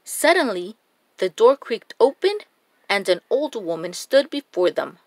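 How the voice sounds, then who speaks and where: A woman narrating a children's storybook in a deliberate, expressive reading style. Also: mid-range voice, dry recording.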